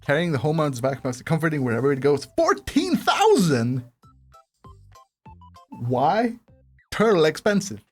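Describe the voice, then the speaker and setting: A woman's voice: deep voice, lively intonation, fast, a little mellow, soft background music. A woman giving casual game commentary as a streamer, playful, giggly and sweary. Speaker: gaming streamer.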